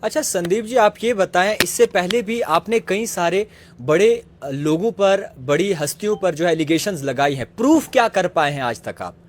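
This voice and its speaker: A man's voice: high-pitched, lively intonation, normal speed, slight background noise. A man working as an interviewer, putting persistent, challenging questions over the phone.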